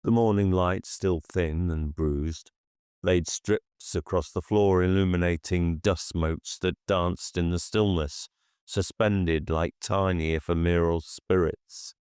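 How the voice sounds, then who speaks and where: Male audiobook narrator: very deep, unhurried, deliberate horror storytelling in a dry recording. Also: steady tone, a little mellow, smooth, no room sound. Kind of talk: scripted horror fiction narration.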